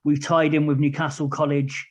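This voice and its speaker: A man's voice: a little high, little pitch movement, normal speed. A man in his thirties with a southern English accent, talking candidly and at length as a guest in a casual interview. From England. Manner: candid, plain-spoken, rambling. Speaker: podcast guest, charity project manager. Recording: muffled lo-fi recording.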